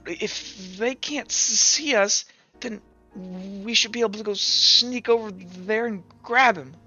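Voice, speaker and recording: A woman voicing a nervous teenage boy in a tabletop game, stuttering and halting as he urges action. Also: mid-range voice, pushed and bright, lo-fi sound, faint music behind, pitched above the performer's own voice. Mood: uneasy. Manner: halting, uneasy.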